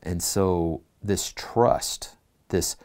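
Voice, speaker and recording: A man's voice: low voice, extremely slow, a little mellow. A man teaching a church Bible class, reading scripture aloud and putting earnest questions to his listeners.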